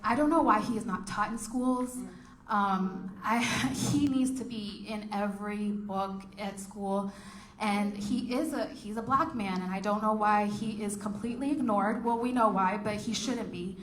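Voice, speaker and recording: A woman giving a short speech at a meeting, halting, with many ums. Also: medium pitch, fairly even intonation, reverberant, slight background noise. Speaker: speaker for a group.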